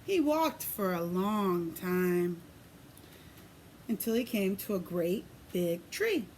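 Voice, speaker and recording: A woman preschool teacher reading a picture book aloud in an expressive, sing-song way, pausing to put questions to young children. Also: medium pitch, slight background noise.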